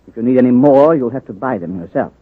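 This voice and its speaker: A man's voice: medium pitch, animated, fast, no echo, lightly acted. An actor playing a stingy, stern male hospital administrator in 1940s radio style. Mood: curt, scolding. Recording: tinny old radio recording.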